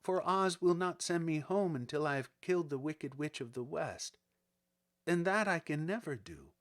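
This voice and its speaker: Storybook little girl acted by a male reader: meek, honest and plaintive, pitched up, with lively swings in tone. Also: normal speed, close to an everyday voice. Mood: sad. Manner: earnest, plaintive, simple. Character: earnest, helpless little girl, simple and honest. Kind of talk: dramatic storybook character reading.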